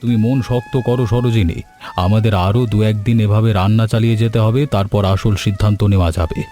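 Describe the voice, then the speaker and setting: A man voicing a kindly, steadfast village husband in an animated moral story, speaking earnestly and reassuringly. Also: lowish voice, fast talker, close to an everyday voice.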